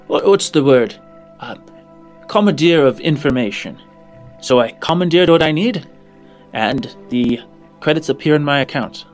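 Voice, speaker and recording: A man's voice: mid-range voice, close to an everyday voice. A man voicing a smug, amoral information slicer in a tabletop game, genteel and condescending in manner. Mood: unapologetic. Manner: genteel, condescending, calls listener dear and darling.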